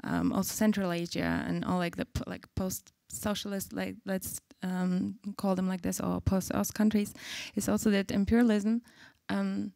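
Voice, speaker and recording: A woman's voice: mid-range voice, lively intonation, moderate pace, gravelly, hardly any pauses, dark tone, no room sound. A woman moderating a panel talk, framing questions with many fillers and restarts. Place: event stage.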